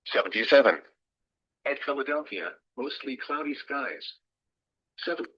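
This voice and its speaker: A dull, lo-fi radio playback of a man announcing the weather. His mid-pitched voice is husky and unclear, and he reads the script in a clipped, formal way. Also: animated, unhurried. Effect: radio speaker. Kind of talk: scripted weather report read-out.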